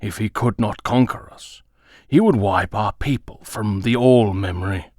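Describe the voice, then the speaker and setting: A man voicing a solemn old alien storyteller in a comic dub, grave and measured, telling legends with dramatic pauses. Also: on the low side, a little mellow, no room sound, clean studio sound. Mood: gentle, solemn.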